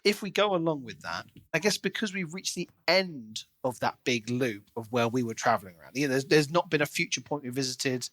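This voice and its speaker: A man's voice: mid-range voice, expressive, a little fast, few pauses, a bit bright, no echo. A man with a mid-range voice talks through a book as a podcast co-host. He is casual but analytical, working out his thoughts as he goes. The sound is dry, with little room on it.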